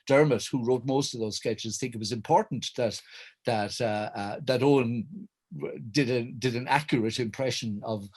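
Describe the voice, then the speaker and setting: An Irish man in middle age talks reflectively as a panel guest. His voice sits at a medium pitch, and he is articulate but halting, repeating small words and restarting before he lands on a full phrase. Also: a little mellow, slightly rough. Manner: hesitant, stammering restarts, wordy and articulate. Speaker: writer and director, panel guest. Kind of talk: reflective conversation recounting memories.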